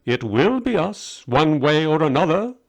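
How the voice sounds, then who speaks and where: A man's voice: a little high, big pitch swings, a little slow, gravelly, pitched above the performer's own voice, heavily acted. A man voicing a devoted, fatalistic aging magus in a story, with solemn, declamatory pronouncements. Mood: grim, fatalistic.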